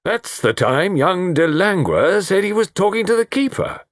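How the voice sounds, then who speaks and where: Man putting on a blustering British colonel, swooping pitch. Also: sing-song, moderate pace, dry recording, studio quality. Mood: excited. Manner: exclamatory, blustering. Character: blustery, astonished English colonel, hearty and blunt.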